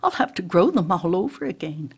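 A woman's voice: on the low side, sing-song, fairly quick, breathy edge, full character voice. A woman voicing a polite, curious young-hearted female yew tree in a storybook, full of wonder. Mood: dismayed. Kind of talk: storybook character reading.

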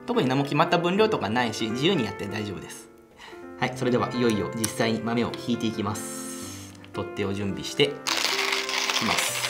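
A young man hosting a coffee channel, chatting enthusiastically as he demonstrates brewing in a small home café room. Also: medium pitch. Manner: enthusiastic, chatty, laughs while explaining. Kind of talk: casual how-to demonstration. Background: background music.

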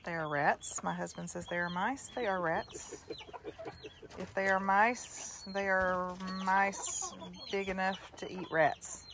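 A woman's voice: medium pitch, slow pace, noisy background. An older woman chatting casually as she checks on her backyard chickens, greeting the hens in a sing-song voice. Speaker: backyard chicken keeper, vlogger. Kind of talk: casual walk-through chat. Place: outdoors at a backyard chicken coop. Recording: outdoor recording with clear clucking hens. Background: chickens clucking.

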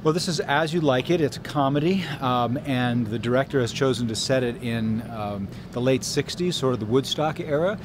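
A man's voice: mid-range voice, moderate pace, hardly any pauses. A man in his forties, an actor, speaking informally in an outdoor interview beside a parking area. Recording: clear outdoor traffic noise.

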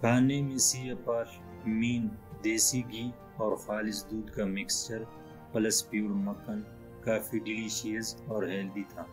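Calm low-voiced male travel narrator. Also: measured pace, low-quality recording. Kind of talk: scripted voice-over narration. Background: soft background music.

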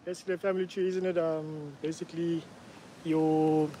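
A woman's voice: low voice, level tone, fairly quick, a little hiss or hum. A woman stopped on the street for a quiz, answering amused and giggly. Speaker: passerby. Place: outdoors on a street. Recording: street recording with loud crowd.

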